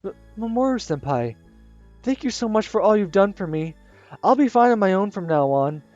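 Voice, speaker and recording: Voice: medium pitch, sing-song, moderate pace, a little mellow, no room sound, muffled recording, soft background music, voice pushed up, lightly acted. A gamer reading a visual novel aloud, voicing a shy teenage schoolgirl who pleads with a wavering voice. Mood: upset.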